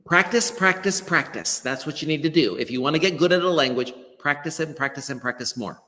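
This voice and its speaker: Middle-aged Western American man, earnest teacher on a video call, lively delivery, reverberant room. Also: fairly high pitch, fairly quick. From western United States. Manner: earnest, emphatic with frequent restarts. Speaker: guest, language teacher. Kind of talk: live-stream interview. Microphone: webcam on a video call. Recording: long hall-like reverb.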